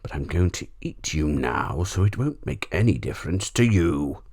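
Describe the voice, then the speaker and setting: A man's voice: rumbling low, big pitch swings, normal speed, darkish tone, slightly rough, exaggerated voice. A man voicing a vain, grumpy, hungry talking lion in a storybook. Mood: upset, sulky. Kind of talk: storybook character voice.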